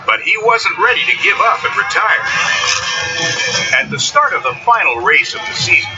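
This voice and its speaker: A man reads a children's story with big dramatic expression, his high voice moving up and down a lot. Loud music plays behind him, and the recording is slightly dull. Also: very animated, normal speed. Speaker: storybook narrator. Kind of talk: scripted children's story narration. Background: loud music bed.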